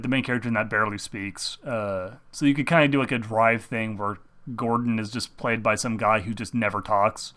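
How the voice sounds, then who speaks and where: A young man chatting casually while sick and sore, at an ordinary pitch for a man. He wanders through his thoughts with lots of uhs, likes and restarts. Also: sharp tone. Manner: meandering, full of fillers and restarts. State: sick, speaking through pain. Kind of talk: casual rambling gaming commentary.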